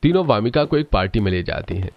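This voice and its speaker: A man's voice: lowish voice, big pitch swings, quick pace. A man narrating a scripted cartoon family story as the storyteller, on a slightly dull recording.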